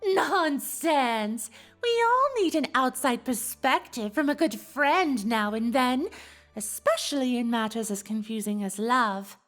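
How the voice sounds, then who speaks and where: A woman's voice: on the high side, lively intonation, unhurried, a bit bright, faint music behind. A woman voicing a refined, dramatic, confident unicorn pony socialite in an audio story, with genteel, theatrical delivery. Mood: brisk, dramatic. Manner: theatrical, genteel, advising with affectionate endearments. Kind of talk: scripted character voice in audio story.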